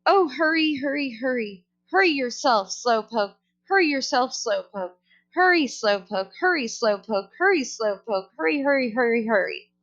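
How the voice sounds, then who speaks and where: A woman reading a storybook puts on a high-pitched pet parrot, rude and bossy. She yells her mocking phrases over and over, like someone who must have the last word. The sound is a little dull and lo-fi. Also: expressive, normal speed. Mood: mocking, impatient. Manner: yelling, repetitive, mocking. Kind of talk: children's storybook read-aloud.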